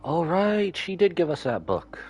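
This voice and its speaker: A man's voice: fairly high pitch, very animated, unhurried, a little mellow. A man livestreaming a video game with casual commentary, musing aloud to himself as he plays. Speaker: livestreaming gamer. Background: game music.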